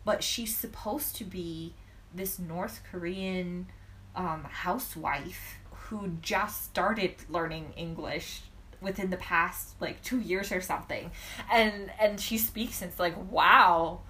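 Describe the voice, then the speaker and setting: A woman in casual podcast conversation, telling stories in an animated way and laughing often. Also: on the low side, slow, slight background noise. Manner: giggly, animated storytelling with frequent laughs. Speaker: podcast co-host. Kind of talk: casual chat.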